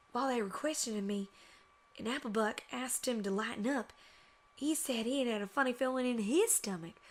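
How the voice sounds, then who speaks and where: A woman's voice: on the high side, fairly bright tone. A woman voicing a folksy, plain-spoken female farm pony in a dramatised story, with country drawl phrasing. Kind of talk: dramatised fan fiction reading.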